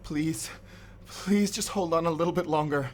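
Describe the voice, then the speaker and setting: An actor playing a devoted, defiant lover in an emotional cyberpunk audio drama. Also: male voice, a little high, normal speed, slight background noise, close to an everyday voice. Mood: pleading.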